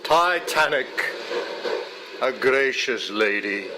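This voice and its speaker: A man reading poetry aloud in a solemn, measured, dramatic way. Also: mid-range voice, expressive, noisy background. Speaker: poetry reader. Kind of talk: expressive poetry recitation. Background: music.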